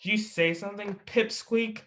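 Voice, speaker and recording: A man's voice: high-pitched, fairly even intonation, moderate pace, a bit bright. A man playing a chatty, teasing young superhero in an amateur table read, with casual delivery. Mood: mocking.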